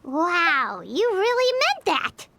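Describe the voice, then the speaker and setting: Squeaky, very high-pitched little fairy companion. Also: female voice, huge pitch swings, takes it slowly, noisy background, exaggerated voice. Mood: excited, playful. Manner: blurting, exclamatory. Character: tiny, childlike, excitable floating fairy companion.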